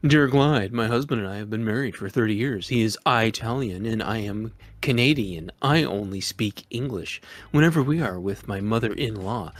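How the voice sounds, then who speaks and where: A man's voice: on the low side, moderate pace, few pauses, no room sound, faint music behind. A man co-hosting a comedy advice show, casually chatting and reading letters aloud. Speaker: co-host reading viewer letters.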